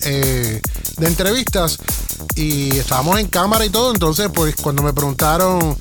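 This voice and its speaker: A man's voice: on the high side, lively intonation, moderate pace, over music. A man with a Puerto Rican accent hosting live radio talk, rambling in a sing-song way with many restarts. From Puerto Rico. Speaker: radio show host.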